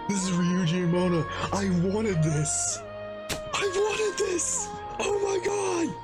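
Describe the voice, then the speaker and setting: A man hosting a game reaction, commenting in an excited, exclamatory way and often repeating himself. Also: high voice, slow, over loud music. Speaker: reaction video host.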